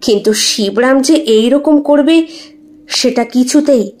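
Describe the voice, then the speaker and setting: A woman's voice: high voice, takes it slowly, a little mellow. A woman reading a scripted suspense story in a dramatic storytelling style. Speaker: audio story narrator.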